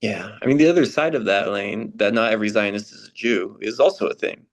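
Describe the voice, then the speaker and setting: A man's voice: lowish voice, fast talker. A man playing a frank, troubled American churchgoer who wants change, speaking earnestly in a conversational readers theater dialogue. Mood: firm, earnest.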